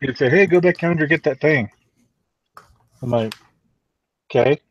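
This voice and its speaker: A man's voice: fairly high pitch, a little slow, husky. A middle-aged man from northern Louisiana without a strong accent, chatting casually and telling wry stories with chuckles. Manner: relaxed, wry storyteller who chuckles. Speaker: guest on a live stream. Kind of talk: casual storytelling chat. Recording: dull lo-fi call audio.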